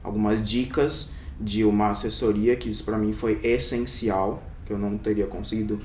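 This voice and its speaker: Young adult man from Brazil, interview guest; hesitant and reflective, mid pitch, a bit distant from the mic in a roomy space. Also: fairly even intonation, normal speed, a little mellow. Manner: reflective, many hesitations and fillers. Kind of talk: casual interview. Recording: echoey room, somewhat off-mic, faint rumble.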